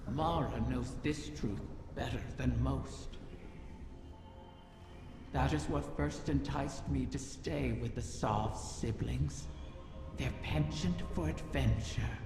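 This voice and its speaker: Gravelly, echoing, sly ancient dragon. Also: male voice, on the high side, heavily acted. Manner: slow, savoring, seductive menace. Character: ancient cunning wish-granting dragon, sly and tempting. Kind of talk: video game character dialogue. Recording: cavernous reverb, loud music behind.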